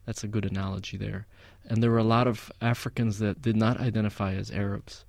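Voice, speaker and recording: A man of Palestinian background, a guest in an interview, telling stories in a measured, reflective way with frequent fillers. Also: fairly low pitch, little pitch movement, creaky, darkish tone, dry recording, clean studio sound. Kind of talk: conversational interview.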